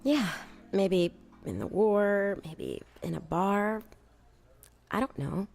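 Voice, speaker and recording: An actress playing a flirtatious, mysterious and menacing femme fatale in a noir audio drama, teasing in clipped, coy phrases. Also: female voice, mid-range voice, very animated, slow pace, vocal fry, darkish tone, soft background music, exaggerated voice. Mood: darkly probing.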